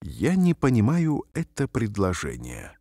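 A man reading short phrases aloud slowly and carefully, each one repeated, for language learners. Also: fairly low pitch, huge pitch swings, dark tone, no room sound. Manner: careful, clearly spaced phrases. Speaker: language teacher. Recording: dry studio voice-over.

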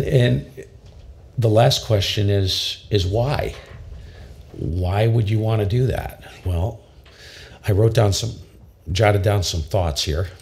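A man in his fifties with a very low voice, enthusiastically explaining ham radio audio gear in a casual tutorial from his home radio room. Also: vocal fry, a little mellow. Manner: enthusiastic, emphatic, repeats himself. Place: home radio shack, small indoor room. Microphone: studio condenser mic with pop filter.

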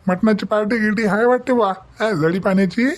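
A man's voice: sits high, brisk, lightly acted. A man playing a jokey, complaining village brother-in-law in a comic story, with a rural Vidarbha Marathi accent and teasing, repetitive, folksy talk. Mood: teasing, hopeful.

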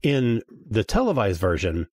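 A man's voice: on the low side, huge pitch swings, unhurried, darkish tone, no echo. A man talking casually as a podcast panelist, with a blunt, informal way of giving his views.

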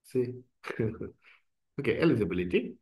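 A man from Bangladesh, a native Bengali speaker, walking through an online form in a relaxed tutorial with frequent fillers and pauses. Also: mid-range voice. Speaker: instructor. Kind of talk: screen-recorded tutorial walkthrough.

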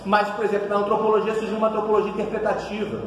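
A man in his thirties with a Brazilian Portuguese accent gives an animated academic lecture, gesturing widely and often adding tag questions. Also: high voice, racing. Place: seminar room with whiteboard. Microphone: far camera across the table. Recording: echoey room from far off.

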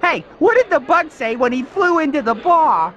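Very high-pitched wisecracking bug comedian. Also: male voice, very animated, normal speed, a bit bright, muffled recording, heavily acted. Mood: jokey. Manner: jokey, hammy delivery. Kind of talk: cartoon game stand-up joke telling. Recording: music and bar crowd behind voice.

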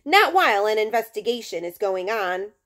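Storybook cop read by a woman: firm, measured, kindly. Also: mid-range voice, very animated, a bit bright. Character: stern but kindly male police officer.

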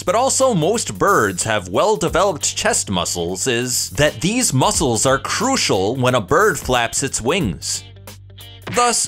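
Male science narrator, highish pitch, hugely varied intonation, clear explanatory script read for viewers. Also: fairly bright tone. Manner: explanatory, presentational, addresses viewers with rhetorical questions.

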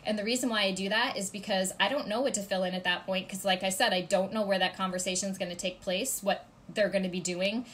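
A woman's voice: mid-range voice, steady tone, fast talker, few pauses, a bit bright. Woman, 30s, congested, chatty how-to vlog, home office webcam, faint background hiss. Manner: chatty, gestures a lot, many ums and "okay?" check-ins. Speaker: author giving writing tips. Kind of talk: casual instructional vlog to camera. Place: home office with ceiling fan, indoors.

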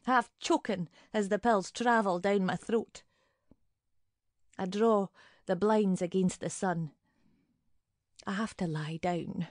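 An actress playing a suspicious, fretful older woman neighbour in an audio drama monologue, brooding in clipped, deliberate fragments. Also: mid-range voice, darkish tone, no room sound, clean studio sound, natural voice.

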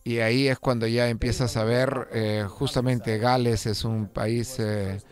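A man narrating a car video as host in a relaxed, conversational voice-over, with frequent fillers and restarts. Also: mid-range voice, unhurried, soft background music. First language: Spanish; lived in England over sixteen years. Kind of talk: unscripted voice-over commentary.

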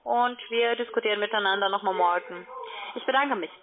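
A woman's voice: mid-range voice, fairly quick. Woman on a tinny phone line. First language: not German. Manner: formal, non-native phrasing. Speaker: call-center financial adviser. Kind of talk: phone sales conversation. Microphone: telephone.

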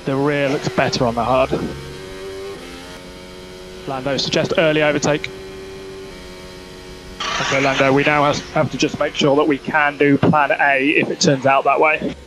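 A man's voice: mid-range voice, level tone, moderate pace, pauses often. A man working as a race engineer, giving terse, clipped technical instructions over team radio. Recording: noisy motorsport team radio.

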